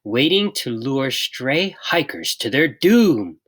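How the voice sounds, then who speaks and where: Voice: medium pitch, very animated, slow, fairly bright tone, breathy edge, full character voice. A storybook reader voicing a huge, menacing old grandfather yeti in a grave, growling manner. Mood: menacing, stern.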